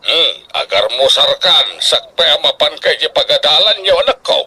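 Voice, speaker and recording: A man's voice: on the high side, big pitch swings, normal speed, sharp tone, a little hiss or hum, heavily acted. An actor with a northern Philippine accent playing a worried, hardworking middle-aged father, strict but devoted, in a radio drama. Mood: firm, fatherly.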